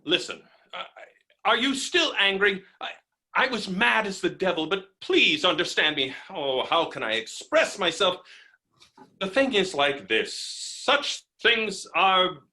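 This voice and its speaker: An actor playing a hot-headed, stammering landowner who is helplessly falling in love, in a theatrical staged reading of a farce. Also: male voice, high-pitched, big pitch swings, pushed and bright, exaggerated voice. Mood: flustered, pleading. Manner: theatrical, stammering, swings from halting to bellowing.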